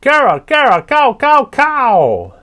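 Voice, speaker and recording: A man voicing a cheeky, mischievous male parrot with a shrill, squawking voice and a teasing, bossy way of talking. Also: big pitch swings, unhurried. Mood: screeching.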